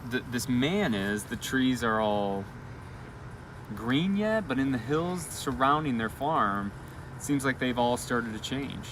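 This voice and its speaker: A man reads a storybook aloud with expression, pausing for asides and questions to his listeners, recorded outdoors on a live feed. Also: medium pitch, lively intonation, a bit bright, slight background noise. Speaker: elementary school educator reading a bedtime story. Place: outdoors on a school roof at night.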